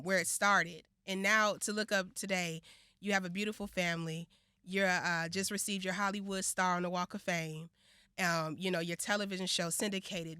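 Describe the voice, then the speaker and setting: A woman's voice: on the low side, bright, forceful tone, clear, resonant tone, no room sound, clean studio sound. An American woman hosting a casual radio interview, informal and affirming in the way she talks. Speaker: radio show host.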